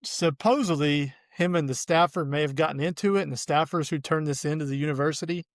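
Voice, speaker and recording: A man with a cold, sounding hoarse, hosting a casual sports podcast and laughing often. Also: a little high, quick pace, no echo, studio quality. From Arkansas. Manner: laid-back, laughs often. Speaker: podcast host.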